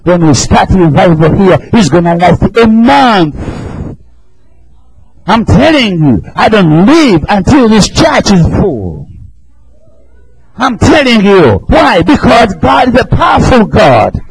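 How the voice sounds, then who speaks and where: A middle-aged man from Africa with an East African accent, preaching a fervent sermon in a church, often shouting and chuckling. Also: high voice, sing-song, slow, vocal fry, husky, poor audio. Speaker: visiting preacher.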